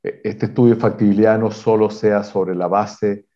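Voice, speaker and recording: Deep, warm-toned male executive, measured and technical, hesitant restarts, muffled lo-fi call audio. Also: flat delivery. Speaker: mining company exploration executive. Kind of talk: interview answers on a video call.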